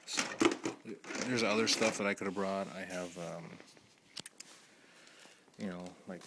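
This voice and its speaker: A man with a hoarse voice talking quietly and rambling with many ums as he lists items for his vlog. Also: low-pitched, background noise. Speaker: video collector host. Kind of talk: casual vlog, listing items.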